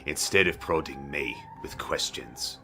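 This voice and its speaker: A man acts out a gruff, haughty mayor in a fantasy audio drama. His voice is extremely deep and has a forceful edge. He speaks formally and with condescension over soft music. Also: unhurried, full character voice. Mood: irritated, defensive. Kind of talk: fantasy audio roleplay acting.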